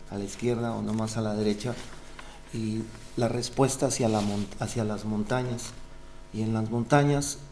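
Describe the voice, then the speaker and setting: A man's voice: fairly low pitch, warm tone, a little hiss or hum. A man, a doctoral candidate earnestly explaining his research with frequent fillers. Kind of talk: defending a thesis.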